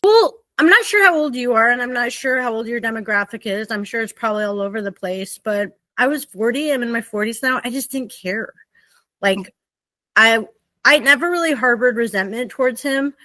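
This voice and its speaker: A woman's voice: on the high side, animated, moderate pace, bright, forceful tone, dry recording. A woman in her forties from Oregon, a podcast guest telling her story candidly and at length. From Oregon, USA. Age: 40s. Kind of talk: candid personal conversation.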